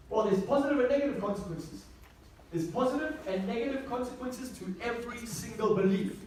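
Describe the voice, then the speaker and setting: A man giving a motivational seminar talk to a live audience, emphatic, repeating key phrases and asking rhetorical questions. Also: high-pitched. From South Africa. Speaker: motivational speaker. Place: echoey indoor venue with an audience. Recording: echoey room, distant mic, faint noise.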